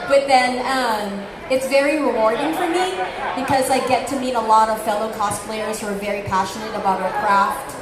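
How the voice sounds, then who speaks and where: Filipina panel co-host, woman, agreeable, highish voice, crowd and echo behind. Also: moderate pace. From Philippines. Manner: agreeable, fills in with yes and yeah. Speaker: convention panel co-host, teacher. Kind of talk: casual panel talk. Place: convention panel room. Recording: echoey room, crowd behind.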